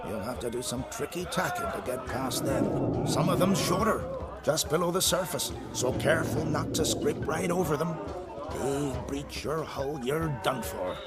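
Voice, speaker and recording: An actor playing a grumpy, boastful old seafaring islander in a video game, blustering and long-winded. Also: male voice, on the high side, animated, normal speed, loud music behind the voice, over a noisy crowd, close to an everyday voice. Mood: boastful, salty.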